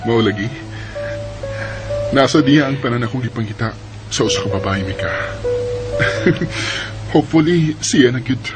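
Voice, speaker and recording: A man's voice: medium pitch, moderate pace, long pauses, close to an everyday voice, rough recording. A man acting an earnest young suitor and friend in a radio drama, with a Filipino Bisaya accent. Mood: smitten. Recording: radio drama with prominent music bed.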